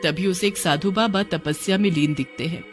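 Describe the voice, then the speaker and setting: A woman's voice: lowish voice, moderate pace, over music. A woman narrating a scripted story in a dramatic storytelling manner.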